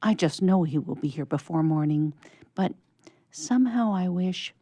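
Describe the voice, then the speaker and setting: A woman's voice: on the low side, expressive, moderate pace, mellow, no echo. A woman voicing an eager, wistful little girl in a storybook reading.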